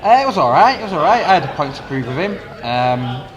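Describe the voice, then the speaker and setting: A British man with a British accent giving casual, understated and halting interview answers as a wrestler. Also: on the high side, sing-song, highs cut off, crowd noise. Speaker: professional wrestler being interviewed.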